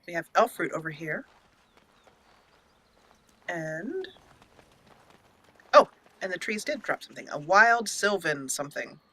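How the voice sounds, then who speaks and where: A woman's voice: lowish voice, expressive, a little slow, long pauses, sharp tone, rough recording. A woman hosting a gaming video, giving let's play commentary and roleplaying her character's lines.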